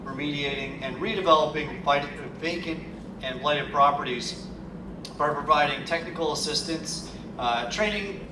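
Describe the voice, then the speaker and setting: A man introduces the next speaker at a conference, stumbling over his words with fillers and restarts. His voice sits a little high for a man. He sounds far from the mic in an echoey, noisy hall. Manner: halting, with many fillers and restarts. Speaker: event host.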